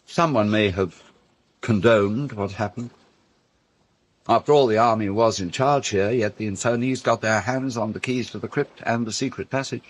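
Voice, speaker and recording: An actor playing a grave man recounting a grim wartime story in a radio drama, with measured, deliberate phrasing and dramatic pauses. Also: lowish voice, lightly acted. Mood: reflective.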